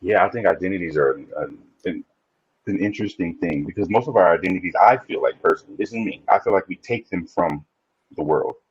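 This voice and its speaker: A man's voice: low-pitched, animated, brisk, husky, no room sound, dull audio. A man guesting on a podcast, talking reflectively in a casual interview and often restarting his sentences. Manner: reflective, speaks in restarts and repeats.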